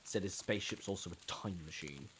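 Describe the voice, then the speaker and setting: A man playing an earnest scout who reports gravely in a fan audio drama. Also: deep voice, a little fast, fairly bright tone, slight background noise. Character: earnest male scout reporting what he overheard.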